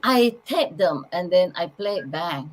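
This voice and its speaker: A woman from Sarawak in Malaysian Borneo with a Malaysian accent, a channeler talking softly and simply as the interview guest. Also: fairly low pitch, expressive, unhurried, slight background noise. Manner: soft-spoken, simple phrasing, light laughs. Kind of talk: casual interview.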